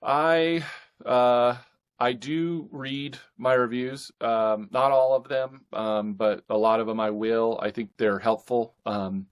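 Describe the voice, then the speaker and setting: A man's voice: mid-range voice, fairly even intonation, takes it slowly, clean tone. A man talking casually as an author guest in a podcast interview.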